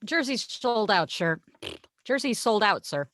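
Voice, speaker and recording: A woman's voice: medium pitch, big pitch swings, a little slow, fairly bright tone. A woman playing a curt, unhelpful bus ticket clerk in an amateur script reading. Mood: curt, deadpan.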